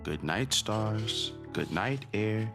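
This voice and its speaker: Slow, sing-song man reading a bedtime story. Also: lowish voice, animated, creaky. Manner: sing-song, deliberate pauses between short phrases. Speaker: children's read-aloud host. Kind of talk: bedtime picture-book reading. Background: music.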